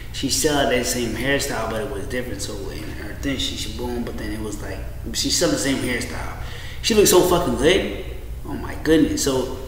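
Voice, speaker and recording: A man's voice: mid-range voice, fairly bright tone. A man in his thirties rambling casually to a webcam at home, loose and profane, repeating himself and trailing off. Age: 30s. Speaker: vlogger. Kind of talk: rambling casual monologue to camera. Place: small room indoors at home. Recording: echoey room with faint noise.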